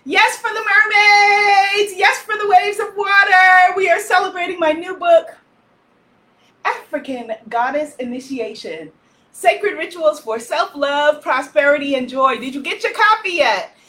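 A woman from Guyana hosting an online spiritual festival on a livestream, loud and energetic, leading chants and cheering on viewers. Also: very high-pitched, measured pace. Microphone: phone or webcam on a livestream.

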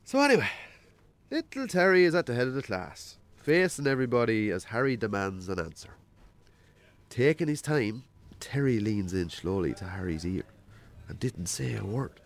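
An actor playing a wry, self-deprecating rural Irish farmer in a radio drama, telling stories colloquially. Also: male voice, medium pitch, very animated, moderate pace. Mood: wry, suspenseful. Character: Jamesy, rural bachelor farmer, self-deprecating storyteller on a date. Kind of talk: naturalistic radio drama acting.

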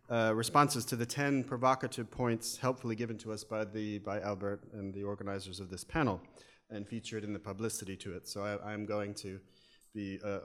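An American man with a wry, methodical manner, giving an academic talk with frequent fillers. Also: on the low side. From United States. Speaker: academic speaker. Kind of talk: lecture presentation.

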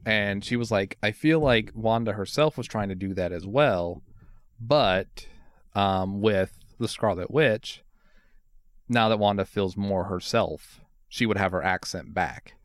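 A man in casual conversation explaining a detail, pausing and restarting as he goes. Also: lowish voice, no room sound, studio quality. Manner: informative, halting with restarts. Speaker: podcast co-host. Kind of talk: casual chat.

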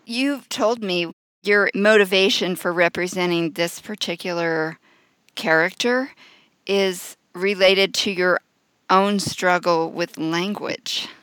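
A woman interviewer who narrates the introduction and asks questions in a clear, polished, encouraging manner. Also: lowish voice, slow pace, a bit bright, no room sound.

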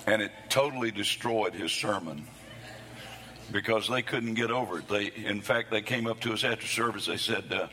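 A man preaching a sermon, his voice hoarse from losing it between services, with a folksy, self-deprecating humor. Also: lowish voice, moderate pace, pushed and bright, long reverb tail. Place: church sanctuary.

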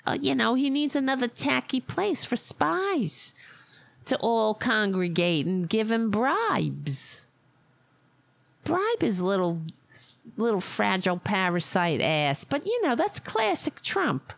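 A woman's voice: fairly high pitch, very animated, slow pace, creaky, dry recording, exaggerated voice. A woman putting on a brash older Staten Island widow with a thick local accent, in a satirical, mocking monologue. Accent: Staten Island, New York. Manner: sarcastic, exaggerated, mocking persona.

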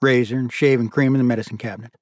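A flat, restrained old man speaks in few words, as voiced by a male narrator. His pitch sits in the middle and the sound is dry. He is a stoic, taciturn audiobook character. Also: very fast, dry recording, lightly acted. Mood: terse, kindly.

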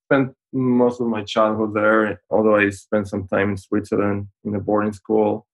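A man in his forties with an Israeli accent, a podcast guest explaining things in a measured way with frequent pauses over a video call. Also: on the low side, level tone, low-quality recording. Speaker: guest, fintech founder.

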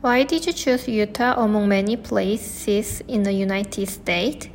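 Woman asking steady interview questions. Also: medium pitch, unhurried, slight background noise.

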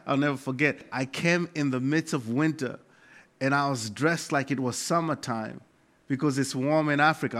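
Higher-pitched Malawian male preacher. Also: a bit bright. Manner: emphatic, repeats key phrases deliberately. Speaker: pastor. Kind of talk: sermon.

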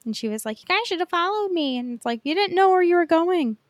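A woman's voice: very high-pitched, no room sound, studio quality. An American woman hosting a podcast in a casual, conversational way, often saying "like" as she goes. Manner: informal and conversational, peppered with "like". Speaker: podcast host.

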